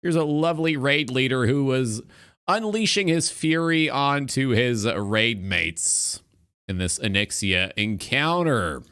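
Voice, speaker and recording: Male stream host, lively intonation, sharp bright tone, casual, rambling, profane. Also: medium pitch, slow, clear, resonant tone. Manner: rambling, swearing, echoing what he hears. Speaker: talk show host.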